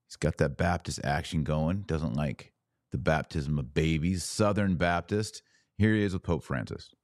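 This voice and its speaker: A man hosting a podcast, giving conversational news commentary in a deliberate, emphatic way with drawn-out pauses. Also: a bass voice, no room sound, studio quality.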